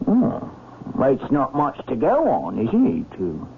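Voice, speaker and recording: An actor playing a gruff, plain-spoken sea captain with a gravelly voice and halting, folksy speech in a vintage radio drama. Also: male voice, mid-range voice, wildly swooping pitch, exaggerated voice. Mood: puzzled. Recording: muffled, noisy old radio recording.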